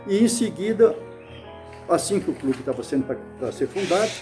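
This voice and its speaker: Older man, from Bahia, reminiscing interviewee, slightly high voice, hesitant restarts, faint background music. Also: animated. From Brazil, Bahia. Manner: unhurried, with frequent restarts and repeated words. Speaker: interviewee, club founder.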